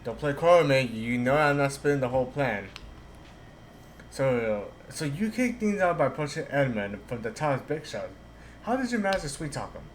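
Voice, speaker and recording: A man's voice: mid-range voice, sing-song, normal speed, a little hiss or hum. A man streaming a video game, casually reading dialogue aloud and commenting, sometimes stumbling over the lines.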